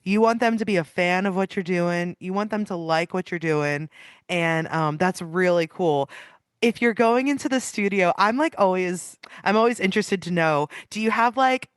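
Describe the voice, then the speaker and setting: Smooth-voiced chatty podcast host. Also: mid-range voice, normal speed, dry recording, clean studio sound. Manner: enthusiastic, chatty, heavy use of "like". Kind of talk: casual interview talk.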